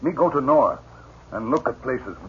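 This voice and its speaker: Terse, stoic radio scout, husky voice, muffled 1950s broadcast. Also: male voice, mid-range voice, expressive, moderate pace. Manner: clipped broken speech. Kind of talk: 1950s radio drama acting.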